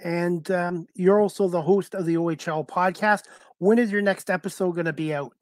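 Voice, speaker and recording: A middle-aged man with a Canadian accent, hosting a casual interview in a halting way over a headset. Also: high voice, steady tone, no room sound, clean studio sound. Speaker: talk show host, interviewer. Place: indoors, on a video call.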